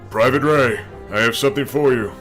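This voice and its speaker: An actor playing a patronizing middle-aged knight commander who talks slowly and deliberately, in an audio drama. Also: male voice, mid-range voice, a bit bright, faint music behind, natural voice. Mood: condescending. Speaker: commanding officer.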